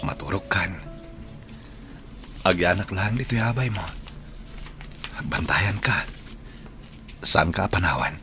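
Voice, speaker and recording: Deep, slow, protective husband. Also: male voice, expressive, over music, full character voice. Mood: tender, reassuring. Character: tender, protective husband watching over his ailing wife. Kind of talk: Ilocano radio drama acting.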